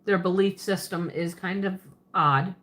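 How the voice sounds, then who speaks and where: A woman's voice: on the low side, steady tone, measured pace, a bit bright. Chatty Pennsylvania woman with Southern twist. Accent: Pennsylvania Dutch with a Southern twist. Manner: chatty and rambling, laughs often, self-correcting. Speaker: livestream host. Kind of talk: casual live chat answering viewers.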